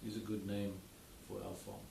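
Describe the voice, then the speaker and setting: South African winemaker in a roomy space. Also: male voice, lowish voice, flat delivery, warm tone. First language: Afrikaans. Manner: unhurried, explanatory, with many fillers. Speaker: wine estate owner. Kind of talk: casual interview. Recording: roomy, distant mic, clear background noise.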